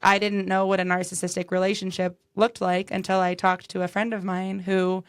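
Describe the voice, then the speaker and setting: A woman's voice: mid-range voice, completely flat delivery, normal speed, smooth, dry recording, studio quality. A woman co-hosting a podcast in a casual, conversational style. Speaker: podcast co-host.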